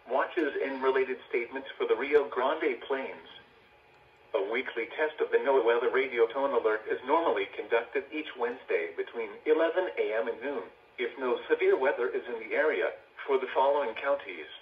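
Robotic guy reading weather on a radio, stiff announcer style, lo-fi and noisy. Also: mid-range voice. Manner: stilted, synthesized, formal announcer delivery. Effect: synthetic voice over weather radio. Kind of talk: scripted weather bulletin reading. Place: played from a weather radio indoors. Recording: dull radio speaker, clear noise.

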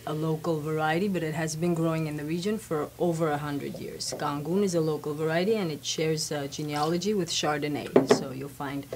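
A woman's voice: low voice, fairly even intonation, brisk, hardly any pauses, darkish tone, a little hiss or hum. A woman in her thirties from Armenia, a winery guest explaining grapes and history in a poised, informative way. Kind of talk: interview guest. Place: wine shop studio set, indoors.

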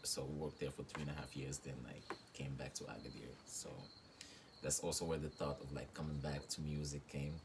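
A young man from Morocco, a guest rapper, talking casually in an interview with many fillers and soft laughs, in a small room recorded on a close phone camera. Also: very deep voice, flat delivery, fairly quick, background noise. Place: small room with reed-panel wall.

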